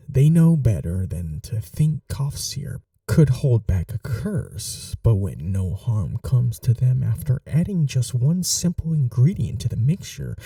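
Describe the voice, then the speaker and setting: A man playing a scheming young prince and possessive lover in a soft-spoken fantasy ASMR roleplay, speaking formally and deliberately with menacing tenderness. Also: mid-range voice, creaky, no echo, clean studio sound, distant mic, exaggerated voice. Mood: smug, calculating.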